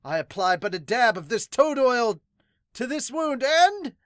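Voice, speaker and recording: A woman's voice: on the high side, very animated, unhurried, no echo, studio quality, voice pushed up. A woman voicing a theatrical male street salesman, declaiming boastfully for a manga dub. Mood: showy, boastful. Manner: theatrical hawker, loudly declaiming. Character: showy male street salesman peddling miracle toad oil.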